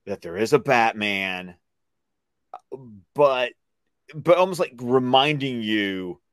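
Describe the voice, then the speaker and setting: Guy chatting as fan co-host, voice swoops around a lot, medium pitch, super dry sound. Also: animated, slow, smooth, dry recording. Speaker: podcast co-host. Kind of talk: casual fan commentary.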